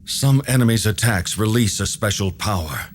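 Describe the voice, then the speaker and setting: An actor playing a helpful, knowing male enchanter who explains things in a measured way in a video game cutscene. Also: on the low side, lively intonation, slight background noise, close to an everyday voice. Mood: instructive, calm.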